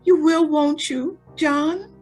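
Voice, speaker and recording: A high-voiced, lovestruck young heiress. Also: female voice, steady tone, takes it slowly, darkish tone, faint music behind. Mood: dreamy, coaxing. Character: lovestruck, naive, dreamy rich young woman. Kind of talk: old-time radio drama acting.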